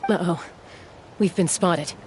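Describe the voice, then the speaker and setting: An actress voicing a composed, sensible young woman in a video game, dry and measured. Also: lowish voice, background noise. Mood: concerned. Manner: measured, dry, matter-of-fact. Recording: music behind the voice. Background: game music.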